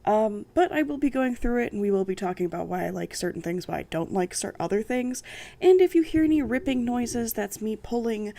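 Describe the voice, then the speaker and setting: A woman hosting a book channel, reading a novel aloud and commenting on it in a sassy, sarcastic way, her voice croaky from a sinus infection. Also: a little high, animated, a little fast, mellow, no echo. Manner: sniffing and coughing.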